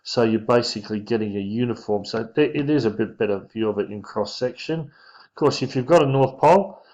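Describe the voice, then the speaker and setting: A man giving an informal physics lesson, talking through diagrams in a casual, halting way as he thinks aloud. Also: medium pitch, darkish tone. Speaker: physics teacher. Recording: dull lo-fi microphone sound.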